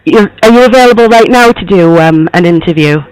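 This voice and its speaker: A man doing a chatty, hoarse girl reporter. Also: high-pitched, a little fast, dry recording, natural voice, poor audio. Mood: friendly, chatty. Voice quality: rambling, filler-heavy, lots of "like" and "um". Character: young woman magazine journalist, chatty and polite. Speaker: prank caller posing as interviewer. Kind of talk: prank phone call.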